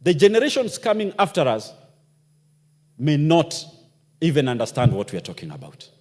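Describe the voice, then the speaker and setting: A man's voice: a little high, huge pitch swings, moderate pace, darkish tone. A man giving an impassioned parliamentary speech, asking rhetorical questions. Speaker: member of parliament. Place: parliament chamber. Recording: long hall reverb.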